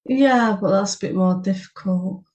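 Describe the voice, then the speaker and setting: A woman co-hosting a story podcast, chatting and then reading the prologue of her own novel in a steady narrating voice. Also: medium pitch, normal speed. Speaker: podcast co-host, author. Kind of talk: casual chat and reading a book prologue.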